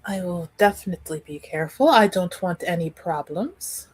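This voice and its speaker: A woman playing a dutiful young noblewoman in a tabletop game, speaking formally and with measured, dryly wry wording. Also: fairly low pitch, a little mellow, slightly rough, no room sound. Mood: reassuring, resigned.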